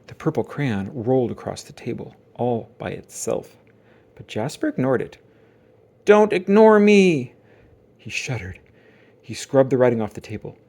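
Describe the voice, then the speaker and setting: A man narrating a children's book aloud as dramatic storytelling, with theatrical emphasis and suspenseful pauses. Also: medium pitch, creaky, warm tone, breathy edge.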